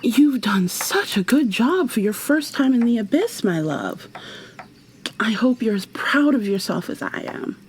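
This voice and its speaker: A woman playing a tender, possessive kidnapper in an ASMR roleplay, speaking softly and haltingly, often slipping into a breathy whisper as she coaxes. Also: a little high, expressive, a little hiss or hum. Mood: doting, soothing. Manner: coaxing, gentle, halting. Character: tender, possessive female kidnapper doting on her captive lover.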